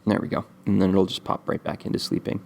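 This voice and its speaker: A man hosting a screen-recorded game coding tutorial, stuffy from a cold, talking in a relaxed, rambling way with many ums. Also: low voice, fast, vocal fry. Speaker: game development tutorial host.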